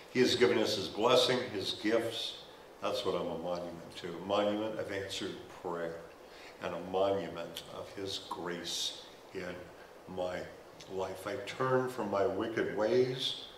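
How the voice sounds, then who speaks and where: A man's voice: fairly low pitch, fairly bright tone, slight background noise. An older man preaching as pastor on a church stage into a headset mic, conversational with humorous asides. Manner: gestures while walking the stage. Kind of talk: sermon. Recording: roomy church sound from a distance.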